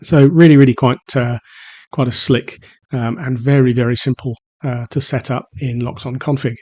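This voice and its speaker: A man's voice: medium pitch, steady tone, moderate pace, a little mellow, husky. A man with a mid-range voice talks through a product in a casual review. He explains things informally, feeling his way through sentences with some hesitation. It sounds like a home renovator sharing an honest hands-on walkthrough.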